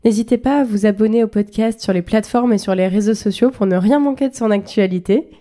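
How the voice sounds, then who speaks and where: A woman's voice: mid-range voice, dark tone. Woman host reading scripted questions. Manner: measured, scripted delivery. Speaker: podcast host. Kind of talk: reading interview questions and outro.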